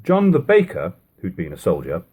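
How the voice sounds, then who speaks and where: A man narrates an old novel with a slightly low, husky voice. His delivery is formal and measured, yet the intonation swings widely and keeps the storytelling expressive. It has the feel of a homemade audiobook. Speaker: amateur audiobook reader. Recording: lo-fi home recording.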